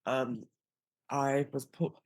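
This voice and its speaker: A low-pitched American woman speaks as a defendant in court. She is deferential and polite, answering a judge's questions, with little room echo on her voice. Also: steady tone, slow, darkish tone. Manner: deferential, short polite answers, hesitant when explaining. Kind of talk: courtroom testimony.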